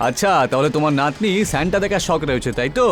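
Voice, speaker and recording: A man voicing an arrogant, callous rich young bully in an animated story. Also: on the high side, very fast, faint music behind, natural voice. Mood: condescending.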